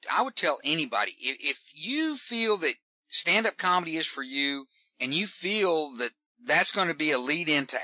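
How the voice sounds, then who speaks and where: A man with a Southern Texan accent, a comedian giving folksy, animated advice in an interview, often repeating words as he starts a sentence. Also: a little high, no echo. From Texas. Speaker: stand-up comedian guest. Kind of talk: casual interview talk.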